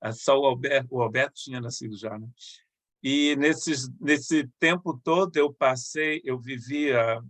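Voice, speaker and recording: A middle-aged man talks as a missionary pastor, sounding conversational and halting, often restarting his sentences. His voice is mid-pitched and recorded dry and close, with no room sound around it. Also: fairly bright tone, no room sound. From Brazil, Rio de Janeiro state.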